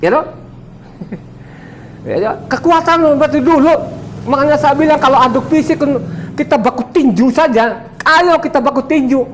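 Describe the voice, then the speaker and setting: Combative elderly preacher. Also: male voice, very high voice, normal speed, pauses often, a little hiss or hum, rough recording. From Sumba, Indonesia. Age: 70s. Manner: combative, boastful, laughs often, quizzes listeners. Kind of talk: sermon to a congregation.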